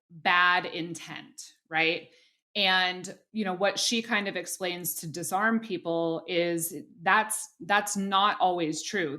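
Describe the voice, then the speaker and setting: A woman hosting an interview podcast, her voice a little low and notably bright. She reasons aloud thoughtfully, leaning on "you know" and restarting phrases as she goes. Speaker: podcast host.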